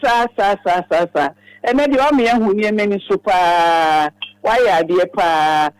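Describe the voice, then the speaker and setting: A man's voice: high voice, a little slow, clear, resonant tone, no room sound, poor audio. A Ghanaian man talking casually on a radio show.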